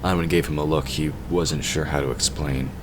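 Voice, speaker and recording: A man's voice: rumbling low, fairly even intonation, normal speed, noisy background. A man narrating a fantasy audio drama from a script.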